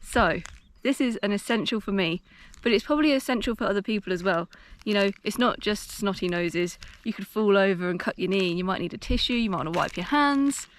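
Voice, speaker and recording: A woman's voice: medium pitch, brisk, a little hiss or hum. A playful, giggly English woman chatting on a countryside hike, recorded outdoors on a handheld camera. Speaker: vlogger. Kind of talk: casual vlog chat while hiking. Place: outdoors on a hill walk.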